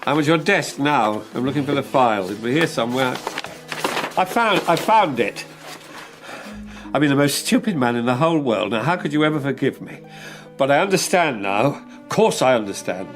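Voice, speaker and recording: An actor playing a contrite, flustered English husband in his sixties, halting and apologetic, in a naturalistic radio drama. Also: fairly high pitch, close to an everyday voice. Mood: frantic remorse. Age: 60s.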